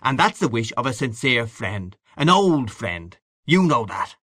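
A man's voice: medium pitch, big pitch swings, measured pace, a bit bright, no room sound, clean studio sound. A man voicing a brash, worldly Irishman in an audiobook, boastful and hearty, patronising an old friend with emphatic delivery. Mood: hearty, congratulatory. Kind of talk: literary audiobook character dialogue.

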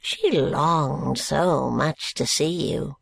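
A reader voicing a frail, wheezing old man in a storybook, slow and fawning. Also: mid-range voice, vocal fry, fairly bright tone, no echo, heavily acted. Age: over 80. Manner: fawning, ingratiating.

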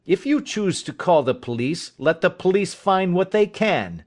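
A man's voice: fairly high pitch, expressive, moderate pace, close to an everyday voice. A man voicing a proud, defiant young son with a wounded manner, in an audiobook. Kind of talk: audiobook character dialogue.

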